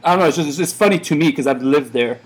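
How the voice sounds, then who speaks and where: A man in his thirties talks loosely and at length, circling back with many fillers and false starts. He was born in Iran and runs a café, and this is a relaxed, casual interview. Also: a little high, very fast, breathy edge. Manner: rambling, many fillers and restarts. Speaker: café owner.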